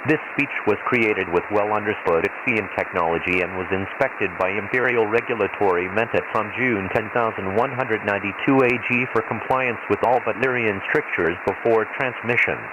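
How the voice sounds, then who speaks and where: A man playing an impassive desert-planet weather announcer, reading formal, measured, impersonal bulletins over a radio transmission. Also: lowish voice. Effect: radio transmission filter. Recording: hissy, noisy shortwave-style radio broadcast.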